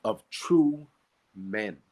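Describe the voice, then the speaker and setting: A man from the Bahamas with a Bahamian accent and a slightly husky voice, teaching conversationally and emphatically, repeating key phrases and asking rhetorical questions. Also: fairly low pitch, expressive, very slow, darkish tone. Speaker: podcast guest, Qigong practitioner and teacher.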